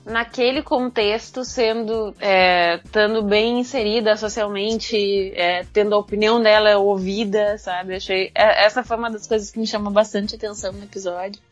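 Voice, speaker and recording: A woman's voice: medium pitch, fairly even intonation, normal speed, few pauses. A Brazilian woman chatting casually as a panelist on a podcast, in dull lo-fi audio. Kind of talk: casual chat.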